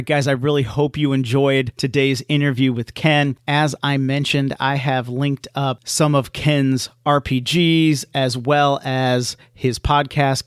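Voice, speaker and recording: A man's voice: mid-range voice, smooth, dry recording, studio quality. A man hosting a podcast, talking casually in an affable, agreeable way. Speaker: podcast host. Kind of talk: casual interview chat.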